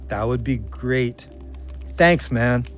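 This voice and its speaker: Slow-talking, reflective Canadian ex-con played by an actor. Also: male voice, mid-range voice, soft background music, natural voice. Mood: unsure, hopeful. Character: Henry, reflective ex-convict man trying to stay sober. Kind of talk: audio play acting with narrated asides.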